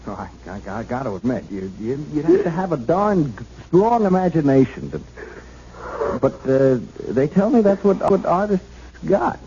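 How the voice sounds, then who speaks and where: A man's voice: on the high side, very animated, moderate pace, mellow. An actor playing an awkward, kindly, unschooled American policeman in a 1970s radio drama, stammering and folksy. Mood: awkward, hesitant. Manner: stammering, hesitant, folksy, colloquial. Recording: muffled, hissy vintage radio broadcast.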